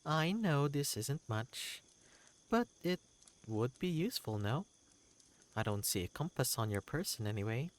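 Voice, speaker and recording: Eccentric wandering creature, male performer, fantasy game. Proud, courteous, theatrical storyteller; unhurried, with big leaps in pitch. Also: big pitch swings. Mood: eager, friendly. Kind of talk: character voice in a fantasy game reading.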